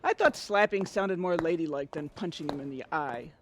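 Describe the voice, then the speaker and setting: A woman's voice: low-pitched, normal speed, background noise, natural voice. A woman acting in an audio comedy as a prim, self-righteous housewife, easily outraged, protesting in a huffy, melodramatic way. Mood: defensive, disgusted.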